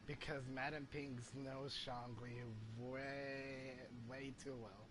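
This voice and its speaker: Slow-talking man commenting on gameplay. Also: mid-range voice, level tone, a loud crowd. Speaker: gaming streamer. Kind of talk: casual reaction commentary over gameplay. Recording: faint game music behind.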